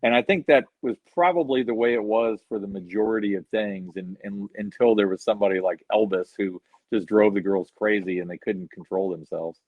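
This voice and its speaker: A man's voice: fairly low pitch, a little fast, hardly any pauses, dry recording. A man guest on a video-call interview, telling rambling anecdotes full of "you know". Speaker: guest musician. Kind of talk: relaxed storytelling interview. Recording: slightly dull lo-fi sound, dry.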